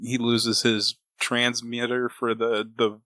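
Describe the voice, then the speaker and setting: Casual man with a crisp, bright voice. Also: mid-range voice, monotone, slow, no room sound. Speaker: podcast co-host. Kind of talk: casual chat reviewing old radio shows.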